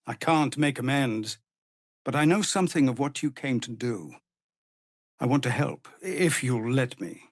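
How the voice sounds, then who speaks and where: An actor playing a weary, dutiful tiefling ex-soldier who leads refugees in a video game, speaking in a grave, measured, earnest way. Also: male voice, mid-range voice, animated, no echo, studio quality. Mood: grave, resigned. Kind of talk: dramatic video game character acting.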